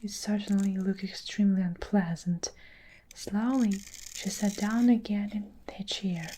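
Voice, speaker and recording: A woman reading a story aloud in a hushed whisper, slow and soft. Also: medium pitch, steady tone, slight background noise.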